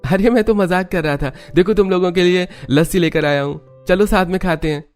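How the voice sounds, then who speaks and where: A man's voice: sits high, a little fast, natural voice. A man playing a kindly, hardworking young father in a cartoon story. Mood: fond, teasing. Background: music.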